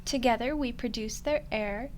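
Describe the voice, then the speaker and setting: A woman playing an ancient female demon in a roleplay ASMR drama, smug, condescending and coolly menacing, speaking deliberately with dramatic pauses. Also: fairly high pitch, slight background noise, lightly acted. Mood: musing, revealing.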